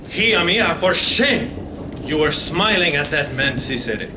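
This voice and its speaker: A man acts as a fussy older Spanish father in a radio play. His voice sits fairly high for a man. The live stage recording is plainly noisy. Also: lively intonation, unhurried. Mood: scolding. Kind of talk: old-time radio play acting.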